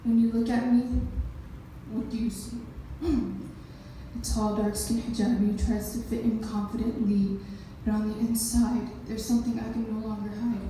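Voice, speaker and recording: Female poet, very mellow dark tone, measured phrasing, distant in an echoey hall. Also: a little high, little pitch movement. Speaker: slam poet. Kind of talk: spoken-word poetry performance. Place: echoey indoor event space, live performance. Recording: very echoey room, distant, faint noise.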